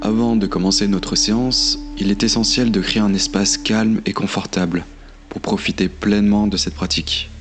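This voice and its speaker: A man leading a guided meditation in a calm, soothing, measured delivery with long pauses. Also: lowish voice, noisy background. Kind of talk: slow guided meditation narration. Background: meditation music.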